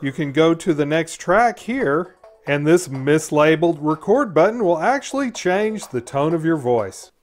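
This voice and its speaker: A middle-aged man narrating like an exaggerated parody infomercial announcer, with deliberate salesman emphasis. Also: fairly high pitch, animated, no room sound, soft background music. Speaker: host of a parody product video.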